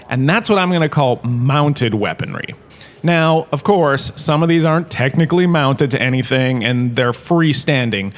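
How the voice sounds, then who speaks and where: An actor playing a self-satisfied, washed-up performer turned university lecturer, chatty and digressive in a comedic sci-fi audio drama. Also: male voice, a little high, a bit bright.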